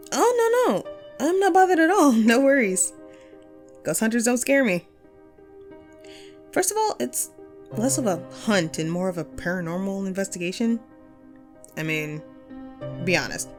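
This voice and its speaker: A woman performs an upbeat female ghost, once a radio host and ghost hunter, in an intimate one-sided audio roleplay. Her medium-pitched voice moves around in a very animated way, playful and talkative with musing pauses. Soft music sits behind her. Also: lightly acted. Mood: playful, teasing.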